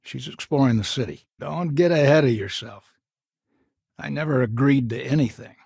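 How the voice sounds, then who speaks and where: An actor playing a stern, proud old grandfather of a frontier family in a video game, gruff and curt, with a gravelly, husky voice and folksy turns of phrase. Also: medium pitch, normal speed, darkish tone, no room sound, clean studio sound. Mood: gruff, dismissive. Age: older. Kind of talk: dramatic video game dialogue acting.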